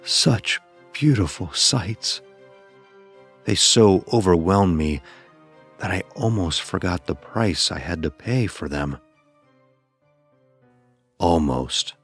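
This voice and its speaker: Casting: male actor as anguished youth narrating dark fantasy horror, extremely low voice, grave and deliberate, music underneath. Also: expressive, slightly rough, exaggerated voice. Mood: shaken, overwhelmed. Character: anguished blind youth recounting a deadly ordeal. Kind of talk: scripted first-person horror narration.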